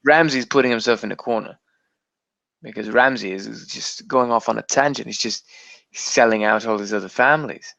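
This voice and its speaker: A man's voice: mid-range voice, normal speed, vocal fry, a bit bright, no echo, dull audio. Theatrical man bantering in a put-on accent. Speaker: podcast co-host. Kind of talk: casual banter about TV and video games.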